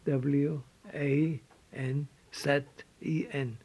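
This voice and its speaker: An older man from Switzerland with a Swiss German accent, telling his life story in halting English with repeated words and restarts. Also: fairly high pitch, level tone, a little mellow, husky. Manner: halting, repeats words, non-native phrasing. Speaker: interviewee.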